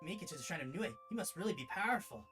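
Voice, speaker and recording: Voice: medium pitch, animated, quick pace, pitched above the performer's own voice, natural voice. Eager, inquisitive visual novel character, read by a streamer. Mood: awed. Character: curious, awed, friendly visual novel character Shen. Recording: music in the background.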